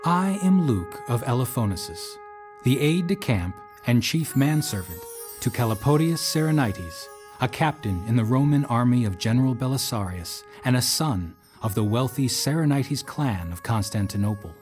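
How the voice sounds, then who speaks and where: A man playing a formal manservant and aide who narrates an audio drama in a measured storytelling way. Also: medium pitch, expressive, darkish tone, lightly acted. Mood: solemn storytelling. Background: music.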